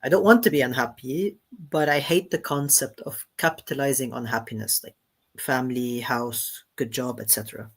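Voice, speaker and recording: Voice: mid-range voice, moderate pace, slightly rough. A moderator reading out audience questions during an online session.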